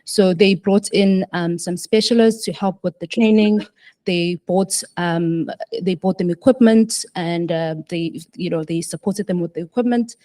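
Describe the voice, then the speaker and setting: A woman's voice: fairly low pitch, a little slow, warm tone. A woman answering as a panelist, hesitant, with many fillers and restarts.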